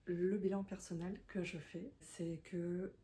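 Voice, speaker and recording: A woman's voice: on the low side, little pitch movement, takes it slowly, dark tone. A woman in her thirties or forties, a self-employed content creator, speaking French as a second language in a reflective, confiding monologue with many hesitations. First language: not French. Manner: hesitant, many fillers and restarts; thoughtful and confiding. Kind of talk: reflective talking-head monologue.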